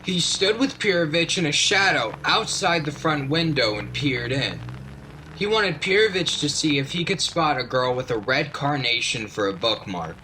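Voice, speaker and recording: A fairly high, bright male voice as a shop owner narrating a radio play, played by a man. He tells the story in measured phrases, over light background noise. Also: expressive, rough recording. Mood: storytelling.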